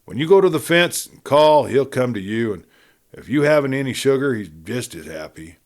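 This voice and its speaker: A man's voice: medium pitch, expressive, normal speed, lightly acted. A man voicing an earnest, trusting young woman who speaks frankly and affectionately in a western audiobook. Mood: eager, earnest. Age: young adult. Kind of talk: audiobook character reading of a western.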